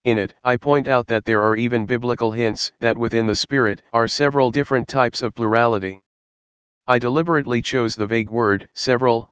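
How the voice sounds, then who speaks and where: A man reading a scripted Bible study in a measured, earnest, explanatory way. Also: medium pitch, a bit bright, clear, resonant tone, no room sound, highs cut off. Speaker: Bible teacher.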